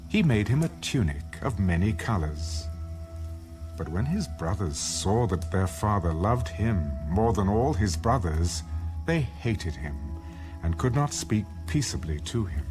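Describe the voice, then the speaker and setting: A man reading scripture as a scripted dramatized narration, in a measured, solemn storytelling manner. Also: lowish voice. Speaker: audio Bible narrator. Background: music bed.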